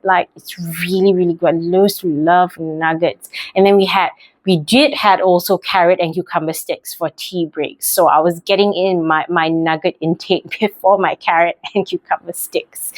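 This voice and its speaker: A woman's voice: on the low side, no room sound. A young Malaysian woman with a Malaysian accent, chatting casually about her life with rambling, self-correcting asides. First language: English. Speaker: vlogger. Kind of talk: casual personal storytelling.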